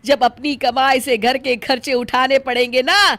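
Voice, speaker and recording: A woman playing an indignant older mother-in-law in an animated comic story, combative and sarcastic. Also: high voice, measured pace, no room sound. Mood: angry scolding.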